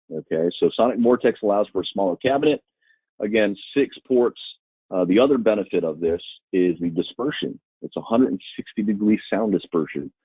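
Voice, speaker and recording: Husky low-voiced man on a phone-like line. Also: dry recording. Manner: salesman-like, explanatory, punctuated with check-in tags like "right?" and "okay?". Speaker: webinar presenter for a speaker brand. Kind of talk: informal product presentation to dealers. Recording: muffled, phone-like webinar audio.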